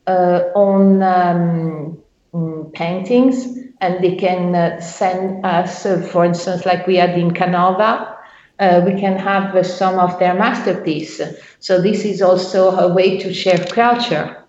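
Woman talking slow and hesitant, lots of pauses, echoey muffled audio. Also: on the low side, fairly even intonation, a little mellow. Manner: hesitant, many pauses to find words. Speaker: bank culture director. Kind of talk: interview answers. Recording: roomy, dull lo-fi sound.

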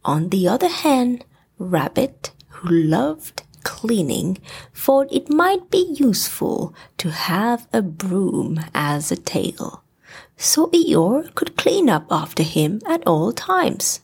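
A woman's voice: mid-range voice, big pitch swings, slow pace, gravelly, darkish tone, husky. A woman storyteller reading a scripted bedtime story.

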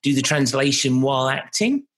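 A man's voice: medium pitch, animated, moderate pace. A man interviewing a longtime acquaintance, asking friendly, familiar questions. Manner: warm, familiar with guest.

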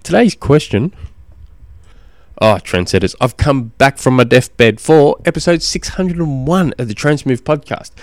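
A man hosting a casual solo podcast, slightly hoarse from a cold, rambling with many ums and restarts. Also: mid-range voice, expressive, unhurried, no room sound, studio quality. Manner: rambling, self-deprecating, lots of ums and restarts. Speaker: podcast host and cycling coach.

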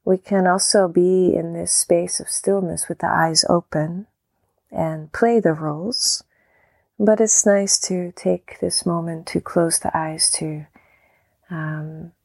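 Slow, creaky-voiced woman guiding meditation. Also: lowish voice, no room sound. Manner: calm, unhurried, gentle, with long pauses between short phrases. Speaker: meditation guide. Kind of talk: guided meditation, softly spoken instruction.

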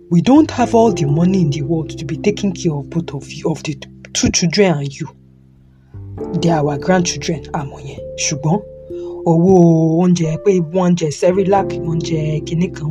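A man's voice: a little high, lightly acted. Stern old Yoruba father, acted by a man. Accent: Nigerian Yoruba. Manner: admonishing, deliberate, switches between Yoruba and English. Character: stern elderly father and grandfather. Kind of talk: audio drama acting. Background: music.

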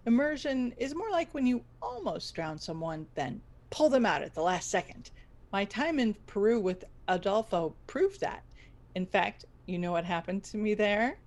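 A proud woman who runs a struggling radio station, played by an actress, speaks in a brisk, dryly theatrical way. She is stubborn and businesslike, in the style of a radio comedy. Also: mid-range voice, sing-song. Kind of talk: scripted radio comedy acting.

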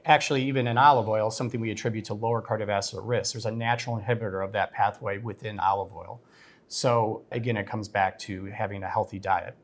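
A man speaking as a guest physician and researcher in a podcast interview, explaining in a measured, technical way. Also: lowish voice, steady tone.

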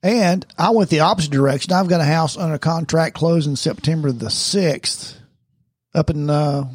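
A man's voice: a little high, normal speed, husky, no room sound, clean studio sound. A man, co-hosting a casual podcast chat and teasing his guests. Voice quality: nasal. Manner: joking, teasing banter. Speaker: podcast co-host.

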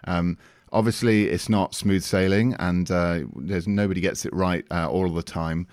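A man hosting an interview in a conversational style, forming his questions and comments deliberately with repeated words. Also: sits low, fairly even intonation, a little mellow, dry recording, studio quality. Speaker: interviewer, host.

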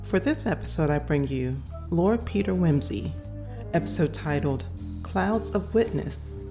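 A woman's voice: on the low side, expressive, a little slow. A woman hosting a podcast, reading a scripted introduction. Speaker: podcast host. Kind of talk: scripted narration. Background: music.

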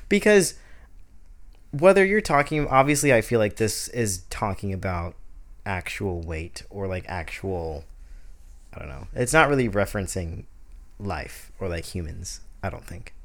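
A young man in his twenties talks through his thoughts on a solo podcast. His voice sits a little low, and he rambles, circles back and fills pauses with "like" and "um." The delivery is loose, casual chat. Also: animated. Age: 20s. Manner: rambling, heavy use of "like", restarts. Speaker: podcast host.

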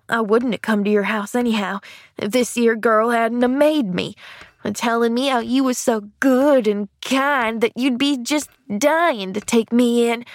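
A ragged little boy from a storybook, played by a woman reader. He is proud and defiant, speaking in a fairly high but gruff voice with sullen, folksy, ungrammatical phrasing. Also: no room sound. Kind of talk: audiobook character voice.

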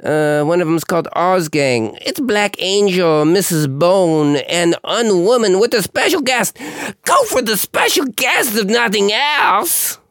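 Hoarse male host, joking and self-mocking, unhurried pace, lively pitch. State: hoarse, scratchy voice. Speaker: podcast host. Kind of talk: casual rambling monologue.

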